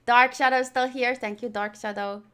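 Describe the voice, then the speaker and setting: A woman's voice: on the high side, fairly even intonation, moderate pace, fairly bright tone, clean tone. A woman chatting casually on a livestream as she plays and reads along with a game, in a rambling, playful way. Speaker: streamer.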